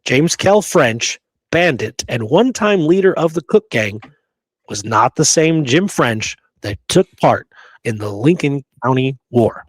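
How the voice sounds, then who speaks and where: A man's voice: mid-range voice, animated, unhurried, no room sound, low-quality recording. A man co-hosting a history talk show, reading accounts aloud and adding casual remarks. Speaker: show co-host.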